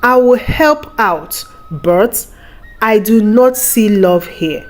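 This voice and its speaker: A woman's voice: medium pitch, slow, natural voice. A woman voicing a wronged young village outcast, anguished yet dignified, in a plaintive, solemn folktale delivery. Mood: wounded, firm.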